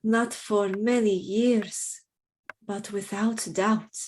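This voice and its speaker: A woman's voice: mid-range voice, fairly even intonation, extremely slow, darkish tone. An actress playing a mysterious young noblewoman in a radio play, speaking in a languid, intense and formal way. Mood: fervent, mysterious. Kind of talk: dramatic radio play acting.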